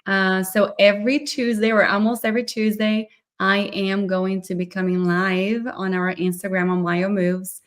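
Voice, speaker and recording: A woman's voice: medium pitch, little pitch movement, moderate pace, hardly any pauses. A woman hosting a live podcast interview, explaining her field fluently and upbeat. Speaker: podcast host, myofunctional therapist.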